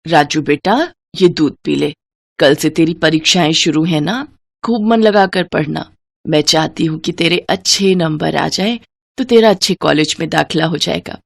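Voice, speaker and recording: A woman's voice: sits low, normal speed, gravelly, no echo. A woman voicing a poor, devout, loving mother in a story, tender, earnest and pleading.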